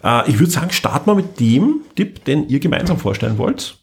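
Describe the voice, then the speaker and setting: A man's voice: a little high, sing-song, fairly quick. A man hosting a podcast, leading the discussion casually and somewhat hesitantly as he searches for words. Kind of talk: casual moderation.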